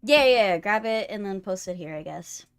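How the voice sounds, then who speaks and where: A woman chats on a gaming live stream with faint music behind her. Her pitch sits in the middle for a woman. She talks casually and likes to tease in a playful way. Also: expressive, fairly quick, clear, resonant tone. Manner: playful, teasing.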